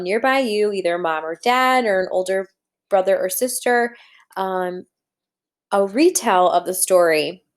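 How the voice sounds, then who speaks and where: Woman reading a story aloud to children. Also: medium pitch. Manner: instructive, addressing children directly, posing questions. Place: indoors at a computer.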